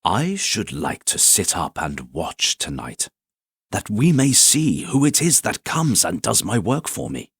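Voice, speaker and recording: A man playing an honest old shoemaker, mild, hushed, low voice. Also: big pitch swings, a little slow, slightly rough, no echo, studio quality, full character voice. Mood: curious. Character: honest hardworking old shoemaker, gentle and curious.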